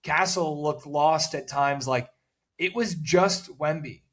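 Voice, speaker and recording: A man co-hosting a casual sports talk show, rambling and repeating words, with a loud voice that cuts through noise. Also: on the high side, slow. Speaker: podcast co-host.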